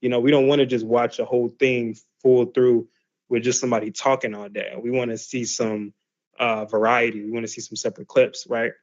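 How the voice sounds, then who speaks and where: A man's voice: medium pitch, level tone, fairly quick, a little mellow, highs cut off. A man teaching a class in a loose, conversational way, full of fillers and restarts. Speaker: class instructor. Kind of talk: casual explanatory lesson.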